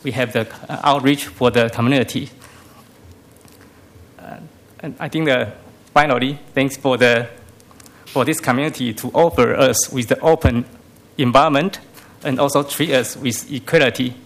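Man with Taiwanese accent, halting public speech. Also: mid-range voice, fairly even intonation. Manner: halting, non-fluent English phrasing. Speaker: community member giving testimony. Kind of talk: prepared public remarks. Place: city council meeting room.

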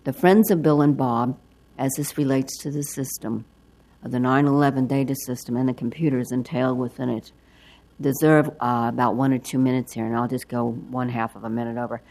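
An older woman with a hoarse, froggy voice giving rambling public comment at a council hearing. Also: very deep voice, steady tone, fairly quick, darkish tone. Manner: rambling, chatty. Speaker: public testifier.

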